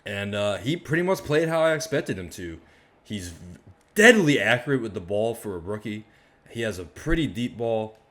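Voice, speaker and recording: Hoarse, deep-voiced male sports vlogger. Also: normal speed. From Maryland, US. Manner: chatty, rambling, energetic asides. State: hoarse, voice worn out. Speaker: sports fan channel host. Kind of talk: casual fan reaction monologue.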